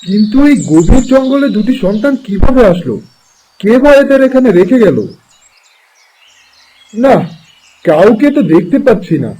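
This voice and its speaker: A tender, excitable cartoon king with a high, mellow voice. He speaks theatrically and full of wonder, with lively swings in pitch. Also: moderate pace, long pauses, poor audio. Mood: awed, joyful. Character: tender, excitable fairy-tale king longing for children. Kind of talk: cartoon fairy-tale voice acting.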